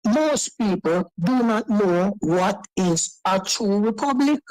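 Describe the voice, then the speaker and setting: A man's voice: high-pitched, lively intonation, takes it slowly, a little mellow, dry recording, rough recording. A man calling in to a talk show, defending his point with lots of repeats and stumbling restarts. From Jamaica. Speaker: caller. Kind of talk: phone-in debate.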